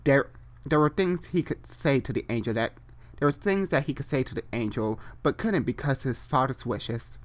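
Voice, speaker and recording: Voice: mid-range voice, moderate pace. A person doing a comedic dramatic reading of amateur online fiction, theatrical and unfiltered, breaking off into casual asides and re-reading stumbled phrases. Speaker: reader and host.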